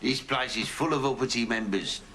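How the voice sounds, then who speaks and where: A man's voice: mid-range voice, moderate pace, heavy background noise. A man with a Birmingham accent, playing a friendly, chatty taxi firm owner in a sitcom. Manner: friendly, chatty, laughs easily. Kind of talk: sitcom acting.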